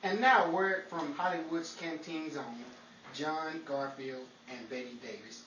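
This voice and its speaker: A man playing an upbeat, smooth-talking 1940s radio host and announcer, theatrical and salesmanlike. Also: fairly high pitch, measured pace, far from the mic, muffled recording, natural voice. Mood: smooth announcing. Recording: echoey room, off mic, faint noise.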